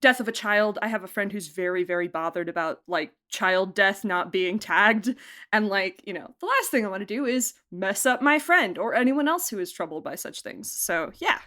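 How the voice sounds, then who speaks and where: A woman's voice: mid-range voice, lively intonation, normal speed, bright, forceful tone. A woman speaking in a mid-range voice, chatting freely as an interviewee. She rambles a little, laughs often and circles back on herself with restarts and fillers. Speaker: executive producer. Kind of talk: casual interview.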